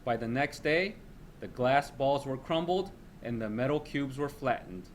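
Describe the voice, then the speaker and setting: Guy teacher reading a kids science text out loud, normal pitch, bit of background noise. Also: expressive, a little slow. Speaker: teacher reading aloud for a lesson. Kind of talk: read-aloud of a children's nonfiction text.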